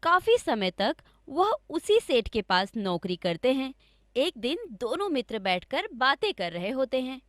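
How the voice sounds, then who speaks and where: A woman's voice: high voice, very animated, a little slow, no room sound, clean studio sound. A woman narrating a scripted story for children as a storyteller.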